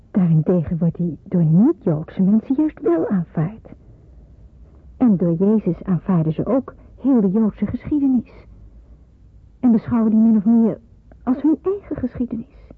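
Vurige jonge Joodse onderduikster, hese stem. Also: female voice, mid-range voice, very animated, a little slow, long pauses, breathy edge, full character voice. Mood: anguished, wondering. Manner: earnest, emphatic, pleading delivery. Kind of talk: Dutch radio play acting. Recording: muffled narrowband old radio play.

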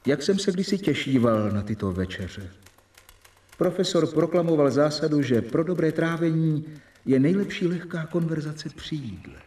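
A man's voice: fairly high pitch, animated, a little mellow, lightly acted. An actor in a radio drama playing an earnest male doctor, narrating reflectively as if dictating a diary. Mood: wistful. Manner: reflective, dictating and correcting himself. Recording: echoey, distant from mic, faint music.

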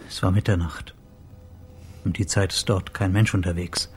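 Low-voiced bereaved husband, played by an actor. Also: male voice, steady tone, moderate pace, darkish tone, soft background music, close to an everyday voice. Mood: grieving, subdued. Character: bereaved husband, a sober man reporting his wife's death. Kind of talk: radio play dialogue.

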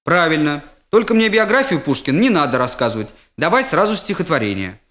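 A man's voice: a little high, sing-song, brisk, a bit bright. A man voicing a strict male literature teacher, firm and curt, patient but growing exasperated, in a comic story.

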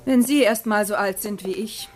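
A woman's voice: mid-range voice, moderate pace, darkish tone, faint music behind, natural voice. An actress playing a gracious, inquisitive society hostess and mother past middle age in a literary radio drama.

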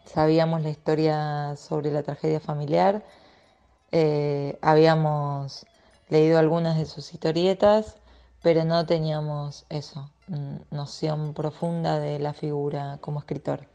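A woman's voice: sits low, level tone, unhurried, vocal fry, mellow, dull audio. A woman with an Argentine accent giving reflective interview answers, hesitating as she searches for the right words. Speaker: interviewed writer and co-author.

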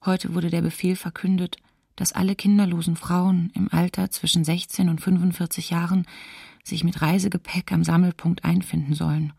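A woman playing a resigned diarist chronicling occupation, husky and creaky, in a radio docudrama. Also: lowish voice, level tone, normal speed, a little mellow, dry recording, clean studio sound. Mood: resigned, sombre. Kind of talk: radio docudrama diary reading.

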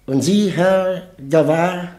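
Officious little official in a radio drama, high mellow voice. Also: male voice, slow pace, echoey room. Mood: businesslike. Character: matter-of-fact, officious morgue official. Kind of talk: German radio play acting.

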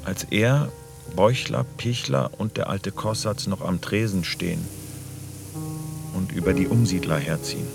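An actor playing a sober, probing grown son who speaks and narrates matter-of-factly in a radio play. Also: male voice, low voice, measured pace, vocal fry, over music. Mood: sober, grim. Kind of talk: radio play dialogue and first-person narration.

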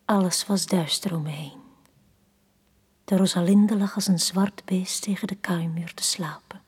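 An actress playing a forlorn, lovesick woman in a radio drama from the 1980s, speaking in a hushed, breathy, poetic manner. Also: lowish voice, fairly even intonation. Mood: sorrowful. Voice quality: breathy, whispery. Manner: slow, hushed, poetic delivery. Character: Tanja, forlorn, lovesick woman left on the quay.

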